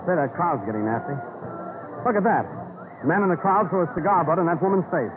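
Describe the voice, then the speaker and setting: An actor playing an emphatic, grudgingly sympathetic politician in a 1930s radio drama. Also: male voice, fairly high pitch, expressive, fairly quick, music in the background, natural voice. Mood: indignant. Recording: tinny 1930s radio recording.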